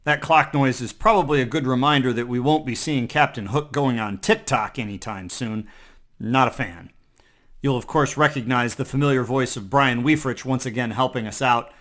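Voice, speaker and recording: Bright, forceful man reading spoof news. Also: medium pitch. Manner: mock-serious newscaster delivery. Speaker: comedy news host. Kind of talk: scripted parody news narration.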